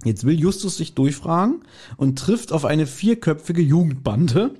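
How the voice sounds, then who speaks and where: Guy podcast host, slightly high voice, casually recapping and reviewing stuff. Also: sing-song, measured pace, warm tone, husky. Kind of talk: casual chat, retelling and reviewing an audio drama.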